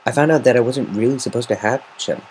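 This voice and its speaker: A man voicing an earnest, caring young female unicorn in a story reading, gentle and explanatory. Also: mid-range voice, quick pace, lightly acted. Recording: noisy amateur home recording.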